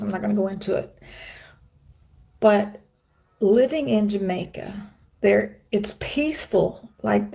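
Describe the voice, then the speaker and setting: A woman's voice: mid-range voice, creaky, dark tone. A middle-aged woman from the United States talking casually to her viewers, chatty and emphatic, with rambling asides. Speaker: vlogger. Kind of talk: casual vlog monologue.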